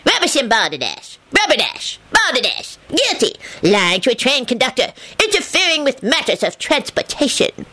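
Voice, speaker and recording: Woman putting on a shrill, pompous little train conductor. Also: wildly swooping pitch, moderate pace, bright, forceful tone, heavily acted. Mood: blustering. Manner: pompous, declamatory. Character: tiny pompous chubby male train conductor, suspicious accuser.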